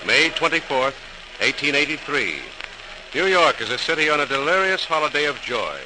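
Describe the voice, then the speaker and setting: A man's voice: fairly high pitch, lively intonation, normal speed. A man narrating a scripted 1940s radio program as announcer, formal and dramatic. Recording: noisy old radio transcription.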